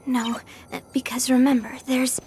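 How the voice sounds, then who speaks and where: An actress playing a calm, quietly knowing young woman in a video game cutscene, understated and patient. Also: high voice, a little slow, slight background noise.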